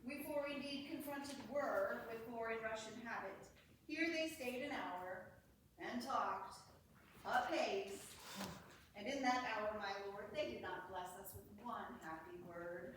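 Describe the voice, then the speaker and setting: High-voiced woman playing a witty courtier, echoey hall. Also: measured pace, fairly bright tone. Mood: dry, mocking. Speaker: amateur stage actor. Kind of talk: Shakespearean staged reading, verse dialogue. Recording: echoey hall, distant mic, faint noise.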